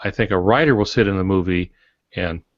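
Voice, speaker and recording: Male co-host, low pitch, explanatory conversational read, dry close sound with muffled lo-fi edge. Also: fairly quick, no room sound. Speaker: podcast co-host. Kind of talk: casual podcast discussion. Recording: dull, dry lo-fi podcast audio.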